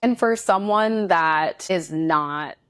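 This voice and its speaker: Young female podcast host, low pitch, dry close mic, friendly interviewer. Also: slow, a bit bright, clear, resonant tone, no echo. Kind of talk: casual interview chat.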